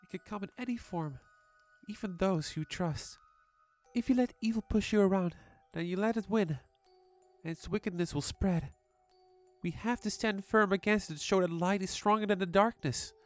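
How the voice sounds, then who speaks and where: A woman playing a gentle, reassuring mother soothing her young daughter in an earnest way, in a horror story reading. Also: mid-range voice, moderate pace, faint music behind, natural voice.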